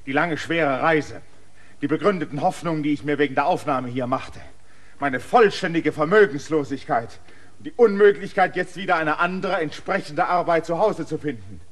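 A man's voice: fairly high pitch, normal speed, natural voice. A man playing a defiant, indignant land surveyor. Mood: pleading. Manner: indignant, bursts into angry shouting. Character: proud defiant male land surveyor demanding his rights. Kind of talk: radio play acting.

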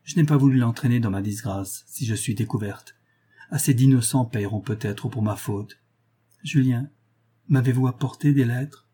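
A man's voice: mid-range voice, moderate pace, mellow, breathy edge, natural voice. A man reading a novel aloud as a remorseful married noblewoman, grave, reproachful and resolute as she renounces her lover. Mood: hushed anxiety.

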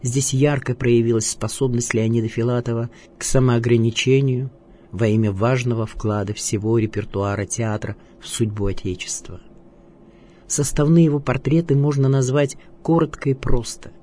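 A man reading a scripted biographical narration in a measured, literary and declamatory way. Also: mid-range voice, darkish tone, slight background noise. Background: music.

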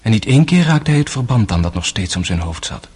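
A man narrating a scripted radio drama in a measured, literary storytelling manner. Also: fairly low pitch, sing-song, a little mellow, husky.

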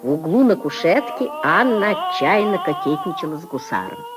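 A man's voice: sits high, wildly swooping pitch, moderate pace. A man narrating a literary 1950s radio drama in a scripted storytelling style. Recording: loud music and chatter behind. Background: music and party crowd.

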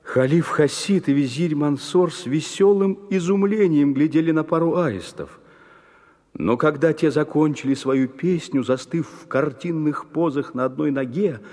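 A man narrating a fairy tale from a script in an expressive storyteller's style. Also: on the high side, in a large hall.

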